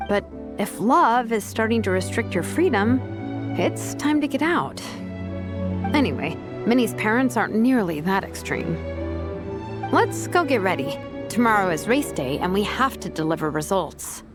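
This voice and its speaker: A woman's voice: medium pitch, big pitch swings, moderate pace, natural voice. A woman voicing a blunt, laid-back young woman warrior in an anime game, with a casual manner. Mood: reflective. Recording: music behind.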